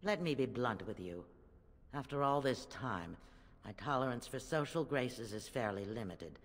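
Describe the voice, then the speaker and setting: A man's voice: medium pitch, lively intonation, normal speed. A man playing a blunt, jaded recluse in a fantasy game, curt and dryly sardonic. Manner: curt, dry, formal. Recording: long reverberant hall.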